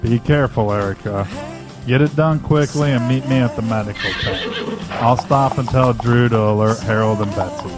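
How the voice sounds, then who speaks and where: A man's voice: mid-range voice, normal speed, over music, close to an everyday voice. An actor playing a practical, protective man in a survival audio drama. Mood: concerned, decisive.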